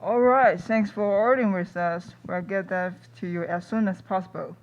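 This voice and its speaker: Polite female phone clerk played by a young woman, matter-of-fact, medium pitch, slightly lo-fi sound, live radio sketch. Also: fairly even intonation, normal speed, slight background noise, close to an everyday voice. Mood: polite, businesslike. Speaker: student radio play performer. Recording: slightly dull, lo-fi radio recording.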